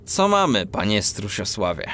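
A man's voice: on the low side, very animated, normal speed, noisy background. A man playing an earnest, bashful cat police detective, narrating and acting in a children's audio drama.

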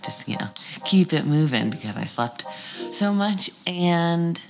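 Casual vlogger, mid pitch, lots of vocal fry, music underneath. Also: lively intonation, a little slow, rough recording. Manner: loose and chatty, thinks aloud about the day. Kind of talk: casual vlog talk.

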